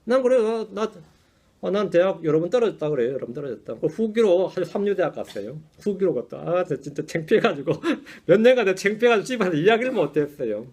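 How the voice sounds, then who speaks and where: Man, pastor, Sunday sermon, high voice, very lively pitch, chatty rhetorical asides, self-mocking laughs. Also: fast talker, slightly rough. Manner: conversational preaching, frequent rhetorical "응?" asides and self-deprecating laughs. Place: church sanctuary.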